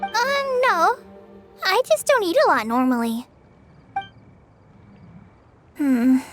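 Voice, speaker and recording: A woman's voice: very high-pitched, sing-song, unhurried, loud music behind the voice, heavily acted. A woman voicing a shy, modest young girl apprentice in a video game, hesitant and humble. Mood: awkward, apologetic.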